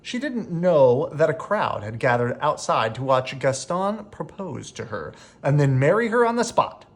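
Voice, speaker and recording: Slow, animated male storybook narrator. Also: on the high side. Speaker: storybook reader. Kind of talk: expressive bedtime story narration.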